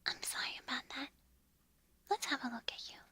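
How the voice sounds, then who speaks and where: Sweet helpful bear from a storybook, woman whispering him, soft caring tone, bright breathy whisper. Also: sits high, expressive, moderate pace, exaggerated voice. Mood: concerned. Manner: gentle concerned whisper. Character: kindly helpful male bear.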